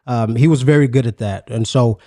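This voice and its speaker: Guest voice: South African-born man, mid-pitched, dry close mic, thoughtful storyteller. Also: warm tone, no echo. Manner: reflective, unhurried storytelling with frequent hesitations. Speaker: podcast guest, musician. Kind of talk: casual interview.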